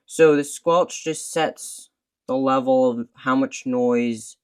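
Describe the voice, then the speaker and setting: A man explains a radio's controls one at a time in a relaxed, conversational way. His voice sits at an ordinary middle pitch. It sounds like a casual hands-on demo. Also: slow, smooth. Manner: explains step by step, points at controls. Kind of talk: casual product demonstration.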